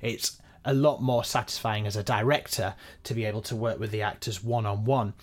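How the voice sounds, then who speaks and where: A man giving a measured, conversational behind-the-scenes explanation as a podcast director and editor. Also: lowish voice, fairly even intonation.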